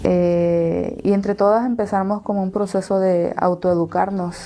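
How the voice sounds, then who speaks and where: A woman in her thirties with a Salvadoran accent, giving personal testimony in an interview and pausing between phrases. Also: mid-range voice, steady tone, warm tone, a little hiss or hum. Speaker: interviewee telling her own story.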